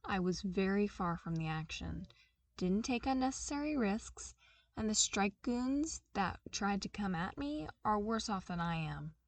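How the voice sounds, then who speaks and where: A woman's voice: medium pitch, lively intonation, a little slow, dry recording. A woman reading aloud and voicing a patient, nonchalant female agent in a level tone. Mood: calm. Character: calm, unflappable female agent.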